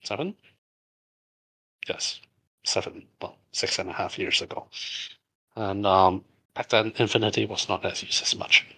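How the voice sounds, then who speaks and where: A middle-aged man from Hong Kong, a native Cantonese speaker, answers questions in a loose, halting way, full of fillers and restarts. His voice sits a little low but sounds bright and forward. It has a husky, slightly rough edge. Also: fairly even intonation, low-quality recording. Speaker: game studio founder answering community questions. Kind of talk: casual AMA, reading and answering questions.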